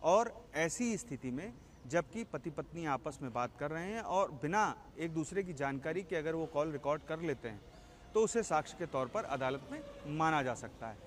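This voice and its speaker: A male senior correspondent reports live in a somewhat high voice. He explains deliberately, restating key phrases, with faint vehicle-like noise around him. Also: animated.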